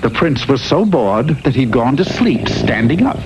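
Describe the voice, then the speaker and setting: A man's voice: medium pitch, sing-song, moderate pace, over music, close to an everyday voice. A man playing a droll, theatrical storyteller narrating a comic fairy tale for a classic cartoon. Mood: droll storytelling. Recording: noisy old TV cartoon recording.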